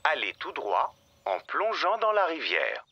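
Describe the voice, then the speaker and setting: Cartoon satnav voice, polite instructions, slow, small-speaker filter, heavy noise. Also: male voice, a little high, huge pitch swings, heavily acted. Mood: matter-of-fact. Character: polite, matter-of-fact talking satnav machine. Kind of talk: cartoon device announcements. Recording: dull sound, heavy noise.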